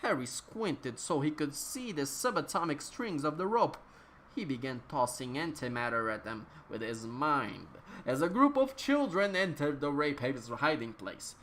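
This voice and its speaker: Low-voiced woman doing a dramatic reading. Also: lively intonation, measured pace, fairly bright tone, a little hiss or hum. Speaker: narrator, reader. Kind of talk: dramatic reading of absurd comic fiction.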